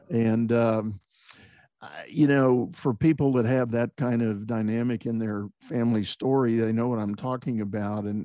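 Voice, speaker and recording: A man's voice: medium pitch, no echo. A middle-aged man from Texas, a podcast guest, talking reflectively about his upbringing with many fillers and restarts. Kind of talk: conversational interview. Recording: dull lo-fi dry sound.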